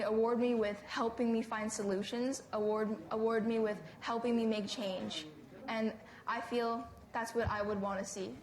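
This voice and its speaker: A young woman with a fairly high voice speaks on a panel in a very echoey room. She is earnest and insistent, pressing her personal appeal to the listeners. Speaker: youth activist panelist. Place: live conference panel.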